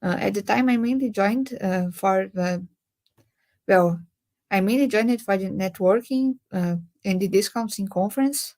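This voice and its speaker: A young woman from Brazil with a Brazilian Portuguese accent, speaking on a webinar panel and pausing often with filler sounds. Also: medium pitch, a little mellow. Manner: hesitant, many filler sounds. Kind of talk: webinar talk.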